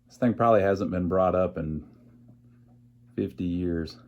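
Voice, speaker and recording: A man's voice: low voice, measured pace, muffled recording. A man casually thinking aloud as he narrates a hands-on repair, with a folksy, wry sense of humor. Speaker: amateur radio repairer and video host.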